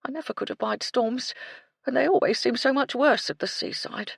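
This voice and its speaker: A thin-voiced, jittery gentleman in an audiobook, put on by a woman reader. His soft voice rises a little, prim and anxious, with a husky grain. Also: a little high, moderate pace, no room sound, clean studio sound, voice pushed up, exaggerated voice. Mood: fretful. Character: nervous, fussy man with a thin soft voice.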